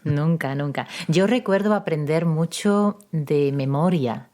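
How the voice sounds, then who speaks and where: A woman's voice: sits low, slow. A middle-aged woman from Málaga, a Spanish teacher, hosting a podcast and interviewing a guest with encouraging backchannels. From Spain, Málaga. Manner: warm encouraging interviewer with frequent ujú backchannels. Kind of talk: scripted intro then interview questions.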